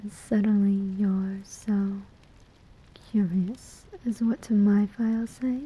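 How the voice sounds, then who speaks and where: A woman's voice: medium pitch, steady tone, mellow, low-quality recording. A woman playing a sly, amused demon collector of souls in a whispered, teasing ASMR roleplay. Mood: sly, curious. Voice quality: breathy, whispering. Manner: slow, teasing, deliberate pauses.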